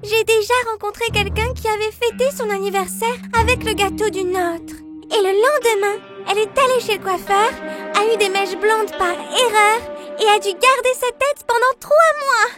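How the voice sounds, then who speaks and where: Very high, melodramatic cartoon girl pet. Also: moderate pace. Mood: gloomy, dramatic. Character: young female cartoon pet, dramatic worrier. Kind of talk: French children's cartoon dubbing. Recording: prominent children's music behind.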